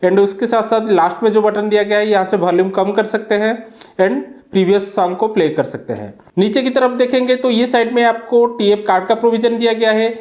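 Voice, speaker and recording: An Indian-accented woman hosts a casual unboxing review. Her voice sits at an ordinary middle pitch for a woman. She explains the product like a tech channel presenter talking to viewers. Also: brisk, darkish tone.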